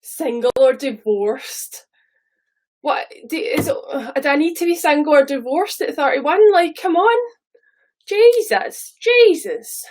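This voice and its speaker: A woman's voice: a little high, a little mellow. Chatty Scottish woman in her thirties. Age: 30s. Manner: chatty, rambling, swears casually. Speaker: live streamer. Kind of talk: casual live stream chat.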